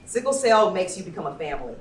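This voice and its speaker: A woman with a slightly low voice hosts a live panel in a chatty, effusive way. The room is echoey and she sounds distant from the mic, speaking personally and informally. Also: very animated, fast, slight background noise. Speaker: panel moderator and event host. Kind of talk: informal spoken panel introduction. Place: indoor event venue, amplified panel talk.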